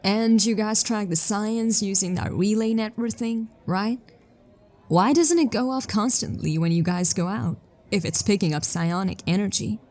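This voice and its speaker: A woman's voice: medium pitch, normal speed, a little mellow, natural voice. A woman playing an impatient, businesslike detective with a dry, curt manner in a sci-fi audio drama.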